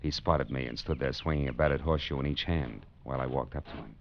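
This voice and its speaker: A man's voice: extremely low pitch, fast talker, creaky, a little hiss or hum. An American man playing a wry, tough private detective in an old-time radio drama, sardonic and clipped in his delivery. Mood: wry narrating. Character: wry, tough, nosy male private detective, worldly and unflappable. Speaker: narrator and lead actor. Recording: dull vintage radio recording, music behind.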